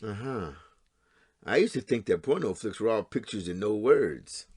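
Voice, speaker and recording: A man's voice: lowish voice, expressive, normal speed, darkish tone, breathy edge, no echo, clean studio sound. Needling, plain-spoken blue-collar man. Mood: sardonic, challenging. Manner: plain-spoken, sardonic, argumentative. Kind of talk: stage-style audio drama acting.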